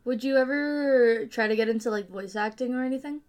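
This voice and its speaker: A woman's voice: fairly high pitch, brisk, darkish tone, smooth. A woman hosting a casual podcast chat, asking curious questions in a chatty way. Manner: chatty, curious, many likes. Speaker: podcast host.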